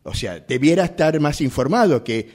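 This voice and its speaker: An actor playing a flustered, evasive driver in a radio theatre exercise, stammering and repeating himself. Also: male voice, a little high, animated, normal speed, darkish tone. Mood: indignant, flustered. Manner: stammering, repetitive, hesitant. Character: flustered, evasive male driver pleading with police. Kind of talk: improvised radio theatre monologue.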